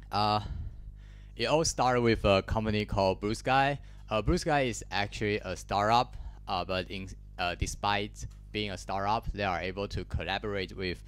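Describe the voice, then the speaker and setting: A man's voice: fairly low pitch, fairly bright tone, smooth. A man in his twenties from Taiwan with a Taiwanese accent, giving a technical talk in a lecture hall on a handheld mic, hesitant with many fillers and restarts. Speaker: conference speaker.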